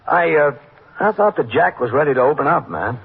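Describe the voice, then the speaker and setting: A weary old-time radio police captain, matter of fact and clipped, thin phone-like sound w some hiss. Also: male voice, fairly high pitch, big pitch swings, brisk, gravelly. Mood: questioning. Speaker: actor and narrator in a police drama. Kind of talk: classic radio police drama acting. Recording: narrow, noisy old radio recording.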